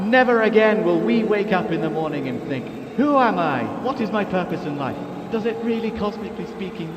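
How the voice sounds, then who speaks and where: An exultant public orator played by a man proclaims to a waiting people in a raised, fairly high voice. The sound rings in an echoey hall, with clear noise behind, as in an amateur radio drama. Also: muffled recording. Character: grandiose male alien orator addressing his waiting people. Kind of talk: amateur radio play acting. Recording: echoey hall with noisy background.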